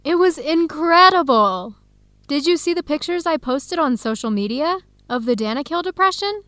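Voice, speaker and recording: A woman playing a cheerful, adventurous young American in a scripted radio drama, speaking clearly and brightly with an American accent. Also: high voice, lively intonation, moderate pace, no room sound. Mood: enthusiastic. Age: young adult.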